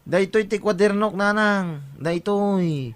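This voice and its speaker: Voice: mid-range voice, takes it slowly, clear, resonant tone, no room sound, close to an everyday voice. An actor with an Ilocano accent playing a wheedling, boastful teenage son in an audio drama. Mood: cajoling. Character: teenage son, wheedling, boastful student begging money from parents. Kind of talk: Ilocano radio-style drama acting.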